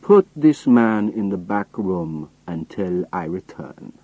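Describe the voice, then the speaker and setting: A man voicing a sinister old spy chief in a story, calm, polite and quietly menacing. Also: low voice, slow, full character voice, rough recording. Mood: cold command.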